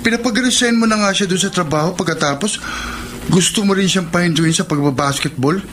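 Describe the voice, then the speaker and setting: A loyal young basketball buddy in a radio drama. His high voice is blunt and protesting, with slight noise in the recording. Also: fairly quick, fairly bright tone. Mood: indignant. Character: indignant young basketball teammate, outspoken loyal friend. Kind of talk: Filipino radio drama acting.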